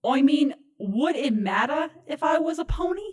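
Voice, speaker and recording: A woman's voice: high-pitched, lively intonation, moderate pace, darkish tone. A woman voicing a sarcastic, exasperated young female changeling, an insect-like pony creature, in an audio drama with a whiny, emphatic delivery. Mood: exasperated pleading. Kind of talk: audio drama fan reading.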